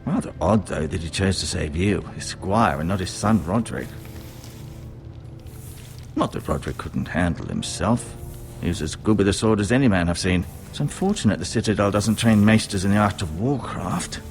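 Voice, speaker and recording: A grave old castle maester type, low voice, measured n formal, fantasy game, music behind him. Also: male voice, lively intonation, gravelly. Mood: pensive, probing. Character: grave, dry older male castle healer, fantasy drama. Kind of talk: dramatic video game acting.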